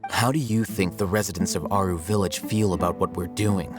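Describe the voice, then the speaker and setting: Casting: man to play young game scholar, low voice, aloof and condescending, measured logic, music behind. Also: fairly even intonation, natural voice. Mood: explanatory. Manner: measured, condescending, matter-of-fact. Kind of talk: video game voice acting. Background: guitar music.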